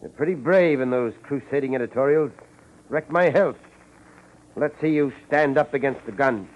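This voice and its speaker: A man's voice: mid-range voice, normal speed, close to an everyday voice. A man as a tinny-sounding, menacing radio gangster boss. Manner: curt, threatening. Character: menacing male racketeer boss, bitter, jumpy with a gun. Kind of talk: 1950s radio crime drama acting. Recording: tinny, noisy old radio recording.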